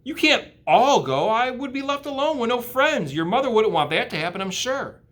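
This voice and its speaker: An earnest young storybook pig, played by a man reading aloud. The voice is pushed up higher. It sounds sensitive, needy and full of feeling. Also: very animated, normal speed, fairly bright tone, exaggerated voice. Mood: anxious, pleading.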